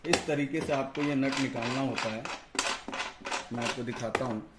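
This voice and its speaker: A man's voice: medium pitch, steady tone, normal speed. A man, explaining a product informally and step by step to camera. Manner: explanatory, repeats "you see" and "I'll show you". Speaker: product reviewer and audio installer. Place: indoor tiled storeroom with boxes. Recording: echoey room, background noise.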